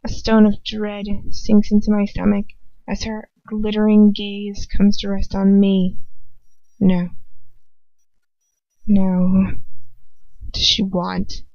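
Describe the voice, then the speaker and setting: A woman reading a story aloud as an amateur narrator, expressive and informal. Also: medium pitch, slow, creaky, long pauses, no room sound. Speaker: amateur audiobook reader. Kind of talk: dramatic fan fiction narration with casual asides. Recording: dull lo-fi home recording.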